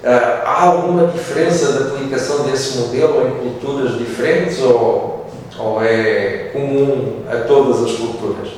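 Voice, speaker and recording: Hesitant Portuguese-accented interviewer. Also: male voice, medium pitch, moderate pace, a little mellow, a little hiss or hum. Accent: European Portuguese. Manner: hesitant, many fillers and restarts. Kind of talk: interview questions. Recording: echoey room from far off.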